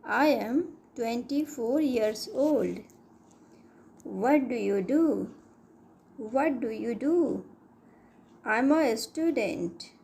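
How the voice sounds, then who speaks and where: Slow, mellow woman reading drill lines. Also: fairly high pitch, animated, a little hiss or hum. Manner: reads each line twice, slowly and clearly. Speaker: English teacher. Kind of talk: slow repeated phrase drills for learners.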